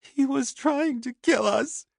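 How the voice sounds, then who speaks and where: A man's voice: extremely high pitch, slow pace, vocal fry, no echo, studio quality, voice pushed up, exaggerated voice. A man voicing a panicked young pregnant woman who sobs and pleads frantically. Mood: sobbing.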